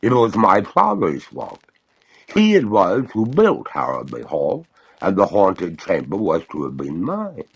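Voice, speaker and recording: A man voicing a haughty, doleful female water ghost in a storybook reading, slow, drawn-out, formal and theatrical. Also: on the low side, vocal fry, husky, full character voice, rough recording. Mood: mournful, aggrieved. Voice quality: gurgling.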